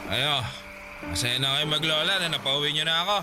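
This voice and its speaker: A man playing a drunken, hot-tempered older brother in a Filipino radio drama, taunting and loud. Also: a little high, brisk, over loud music, close to an everyday voice. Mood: mocking.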